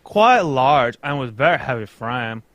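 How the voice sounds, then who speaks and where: A man's voice: mid-range voice, expressive, measured pace, fairly bright tone, no echo, lo-fi sound. A man playing an anxious, pompous foreign king in a radio mystery, earnest, in stilted English that drops its articles. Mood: anxious, formal. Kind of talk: old-time radio mystery acting, read live.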